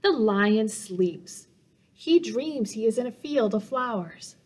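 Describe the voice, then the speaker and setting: A middle-aged woman, a children's librarian reading a picture book aloud in simple, deliberate phrases for young listeners. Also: on the high side, expressive, darkish tone. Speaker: children's librarian and storytime host. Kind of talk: children's storybook read-aloud. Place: small office room indoors.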